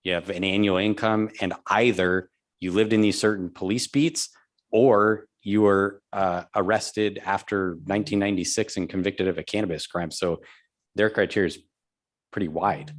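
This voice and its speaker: A man's voice: low-pitched, fairly even intonation, normal speed. A man on city staff, explaining rules to the council and thinking aloud as he goes. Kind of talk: public meeting discussion.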